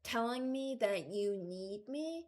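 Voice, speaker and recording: A young adult woman giving casual, unscripted reaction commentary, hesitant and thinking out loud. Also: on the high side, expressive, slow pace. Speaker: video creator ranking game voice lines.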